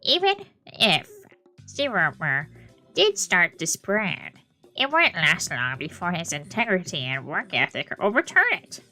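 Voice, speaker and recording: A woman reading the lines of a chatty young man who writes a gossip newsletter, in a breezy, lively manner. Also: lowish voice, unhurried, faint music behind, full character voice. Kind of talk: reading visual novel dialogue aloud.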